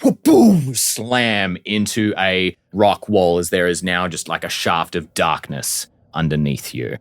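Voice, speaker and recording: A man's voice: low-pitched, expressive, slow, no room sound, studio quality. A man running a tabletop roleplaying game, describing scenes vividly to the players as game master.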